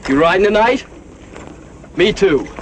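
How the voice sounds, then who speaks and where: An actor playing a naive, eager young cowhand in an old western film, wide-eyed and folksy. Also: male voice, sits high, expressive, unhurried. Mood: eager, awed. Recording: distant, noisy old film soundtrack.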